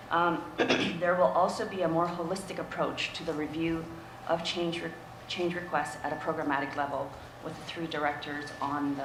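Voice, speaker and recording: Female staff presenter, raspy and sick-sounding, measured formal delivery with hesitations, noisy reverberant room recording. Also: deep voice. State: coming down with a cold, throat-clearing. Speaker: staff presenter at a public board meeting. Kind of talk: formal briefing to a board. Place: indoor board meeting room. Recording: echoey meeting room with clear background noise.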